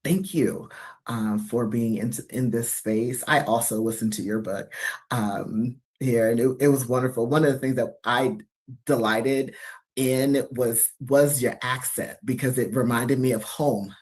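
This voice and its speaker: Woman panel speaker, from new york city, halting, reflective, lots of pauses. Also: a bass voice, fairly even intonation, a little mellow. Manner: halting, many fillers, reflective. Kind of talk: panel talk at a university event.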